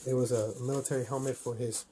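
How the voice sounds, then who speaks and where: A man's voice: mid-range voice, steady tone, fast talker, dark tone, a little hiss or hum. A man doing a casual unboxing review, talking informally and thinking aloud as he goes. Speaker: toy collector reviewing a helmet. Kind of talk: casual unboxing vlog chat.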